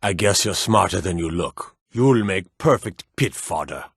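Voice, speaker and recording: An actor playing a stern, menacing fantasy city guard in a video game, gruff and authoritative, with a weary, corruptible streak. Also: male voice, deep voice, lively intonation, unhurried, a bit bright, no echo, studio quality, full character voice. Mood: contemptuous. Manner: gruff, authoritative, clipped threats. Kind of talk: scripted fantasy game voice lines.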